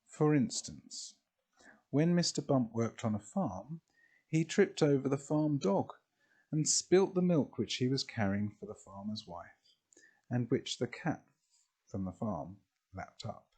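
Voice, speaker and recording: A man reading a children's storybook expressively, in a deliberate way with drawn-out phrases. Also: medium pitch, sing-song, a little mellow, breathy edge.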